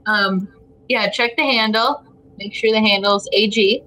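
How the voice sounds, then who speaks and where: A woman's voice: mid-range voice, fairly even intonation, unhurried, sharp tone, rough recording. A woman chatting casually as a guest player in a spoken text adventure. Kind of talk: casual game chat.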